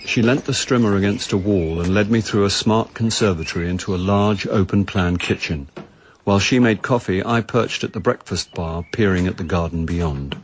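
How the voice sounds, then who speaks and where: A man's voice: low-pitched, moderate pace, a little hiss or hum, lightly acted. A man playing a world-weary amateur sleuth, narrating a radio drama in the first person with dry, understated wit. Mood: wry, reflective.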